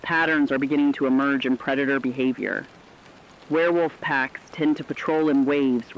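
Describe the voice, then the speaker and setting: A man acts as a serious male reporter in a horror radio drama, with a medium-pitched voice. He gives measured, urgent scripted bulletins. The sound is somewhat dull and lo-fi, with rain-like noise behind him. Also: natural voice. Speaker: radio emergency broadcast reporter.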